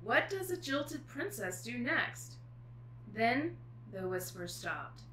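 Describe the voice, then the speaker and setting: Female guest author, bright and higher-pitched, expressive reader and casual talker; roomy recording with slight noise. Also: slow. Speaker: author guest reading aloud. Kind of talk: expressive book reading and casual chat. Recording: echoey room with faint noise.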